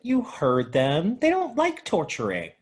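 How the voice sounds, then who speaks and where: A man playing an excitable, idealistic and naive young video store clerk, earnest and dramatic, in a comedy table read. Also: on the high side, measured pace. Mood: earnest pleading.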